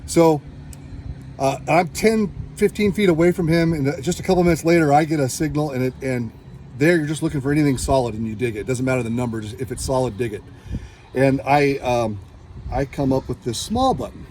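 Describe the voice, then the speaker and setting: A man's voice: mid-range voice, a little fast, a little mellow, slight background noise. An American man from California telling a casual, rambling hobby story and acting out what people said. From California, United States. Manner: rambling, acts out quoted exchanges. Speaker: metal detecting hobbyist telling his story.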